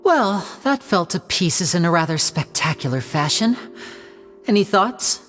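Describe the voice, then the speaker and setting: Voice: medium pitch, big pitch swings, measured pace, fairly bright tone, soft background music. A suave young magician. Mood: wry. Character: young male stage magician, poised and theatrical. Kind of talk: video game dialogue.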